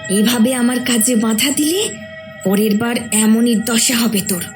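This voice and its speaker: A woman acts a possessed young daughter in a horror cartoon. She is sullen, cold and demanding, with a high voice and unhurried speech. Faint music plays behind. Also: steady tone, natural voice. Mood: cold menace.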